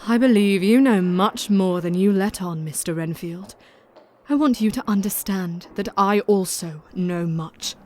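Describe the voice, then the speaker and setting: Composed young Victorian heroine played by a woman, mid-pitched, formal and steely, for gothic radio play. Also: unhurried, a little mellow, lightly acted. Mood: pointed, accusing. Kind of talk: audio drama acting.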